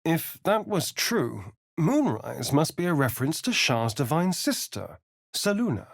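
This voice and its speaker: A man's voice: on the high side, very animated, unhurried, creaky, no room sound, studio quality, exaggerated voice. A man playing a vain, sardonic vampire rogue in a video game, with an arch, theatrical, drawling delivery. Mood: earnest, wary. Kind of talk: video game character voice lines.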